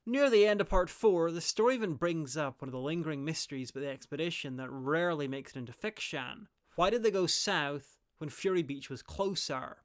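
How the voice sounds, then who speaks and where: A man reads scripted review narration in a wry way. The voice is smooth and clear, pitched a little high for a man. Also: animated, a little fast, hardly any pauses.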